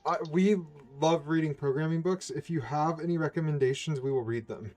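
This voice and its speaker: A man co-hosting a casual coding stream, asking questions and joking in a relaxed way. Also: fairly high pitch, fairly quick, soft background music.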